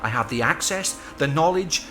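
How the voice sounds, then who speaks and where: An actor playing an obsessed, smug mad scientist in a video game, with a Scottish accent and a theatrical, grandiose manner. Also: male voice, a little high, lively intonation, moderate pace, pushed and bright, soft background music. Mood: grandiose, determined. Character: obsessed, smugly excitable male mad scientist. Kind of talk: dramatic game cutscene acting.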